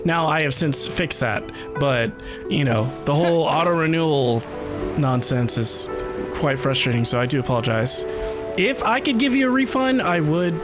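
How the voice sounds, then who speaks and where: Chatty woman streaming with game music behind. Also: very deep voice. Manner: chatty, conversational asides to viewers. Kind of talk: casual live game stream chat with reactions. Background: video game music.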